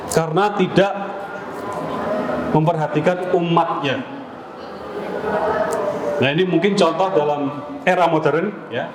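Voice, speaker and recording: A man preaching a sermon to a congregation in a deliberate, didactic way, phrase by phrase. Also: a little high. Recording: echoey room with loud background noise.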